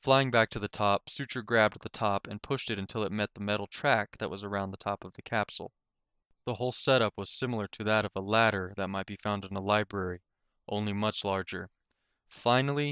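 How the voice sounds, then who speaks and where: A man's voice: lowish voice, fairly quick, fairly bright tone, clear, resonant tone, dry recording, lo-fi sound. A man reading a scripted story aloud as an amateur narrator.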